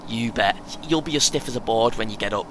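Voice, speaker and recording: A man's voice: fairly quick, natural voice. A man playing a cheeky, chatty British runner in his twenties in an audio play, with a casual, laddish manner. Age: young adult. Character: cheeky, chatty young male marathon runner, 23. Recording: clear vehicle rumble noise. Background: vehicle ambience.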